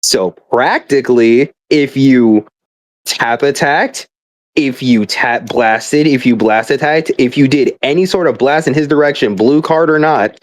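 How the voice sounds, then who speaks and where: A man's voice: mid-range voice, measured pace, no echo. Profane young man on a rambling rant. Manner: profane, exasperated, hyperbolic; stammering repeated starts. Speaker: gamer.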